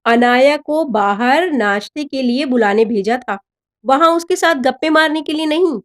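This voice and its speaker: A woman's voice: sits high, measured pace. A woman narrator voicing an exasperated, chiding middle-aged mother in a story. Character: brisk, mildly scolding middle-aged mother.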